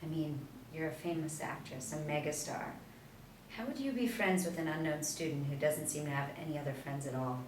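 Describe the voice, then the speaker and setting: A composed, skeptical female FBI interrogator in a TV drama , read by a woman at a table read. Her voice is low, recorded at a distance in an echoey room. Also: fairly quick, darkish tone, natural voice. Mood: probing, dry. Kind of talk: table read of a TV pilot script. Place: small meeting room, seated in a row. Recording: echoey room, distant mic, faint noise.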